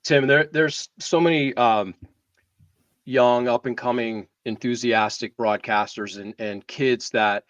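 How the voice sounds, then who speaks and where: A man with an American accent, co-hosting and casually interviewing a guest in a halting way, repeating words. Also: medium pitch, fairly even intonation, fairly bright tone, no echo. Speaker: show co-host interviewing a guest. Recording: muffled, somewhat distant microphone.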